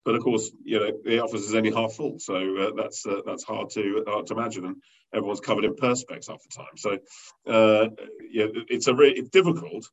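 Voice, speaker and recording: A man leading a company, talking through an interview in a rambling way with many fillers and restarts, with a loud voice. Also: fairly low pitch, steady tone, brisk. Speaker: company leader interviewed as guest. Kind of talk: conversational business interview. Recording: dull lo-fi sound.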